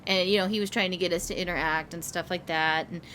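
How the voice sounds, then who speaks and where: A woman's voice: on the low side, fairly even intonation, quick pace, fairly bright tone, slight background noise. A woman co-hosting a casual review, talking informally and candidly as she recalls what happened. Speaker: co-host of a haunted attraction review. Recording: music under the talk.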